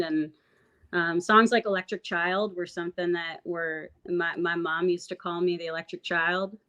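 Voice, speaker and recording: A woman singer-songwriter with a raspy voice, talking reflectively about her music in a casual interview, full of fillers and restarts. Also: on the low side, fairly bright tone. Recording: dull lo-fi audio.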